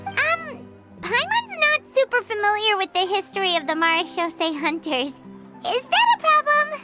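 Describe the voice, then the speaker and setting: Childlike little game mascot: squeaky, bubbly, gluttonous, wildly lively pitch. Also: female voice, normal speed. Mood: eager, curious. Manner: bubbly, exclaiming, refers to self by name. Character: tiny excitable, gluttonous childlike floating companion. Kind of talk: video game voice acting. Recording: loud music behind the voice.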